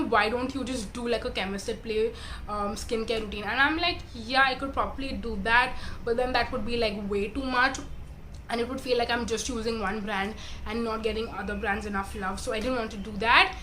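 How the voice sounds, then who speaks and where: A woman, hoarse and congested from a cold, chatting casually through a skincare review with lots of fillers and self-corrections. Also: a little high, fairly bright tone, slight background noise, poor audio. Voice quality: raspy. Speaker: beauty vlogger.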